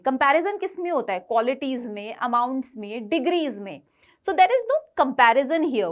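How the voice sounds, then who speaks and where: Indian lady teacher, really high voice, slow pace, explains exam grammar and asks then answers her own questions. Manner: explanatory, poses questions and answers them herself; switches between Hindi and English. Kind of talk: exam coaching lesson.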